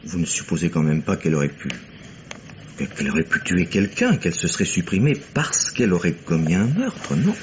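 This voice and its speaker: An actor playing a formal, regretful police inspector in the style of 1950s French radio drama, polite and measured. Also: male voice, deep voice, very animated, darkish tone. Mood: probing, tactful. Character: courteous, regretful male police inspector breaking bad news. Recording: dull, noisy old radio recording.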